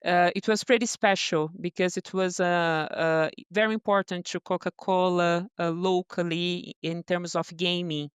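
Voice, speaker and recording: A woman from Brazil with a Brazilian accent, answering interview questions with many pauses, fillers and restarts. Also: on the low side, fairly even intonation, smooth, no room sound, studio quality. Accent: Brazilian Portuguese. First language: Portuguese. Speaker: guest, brand partnerships director.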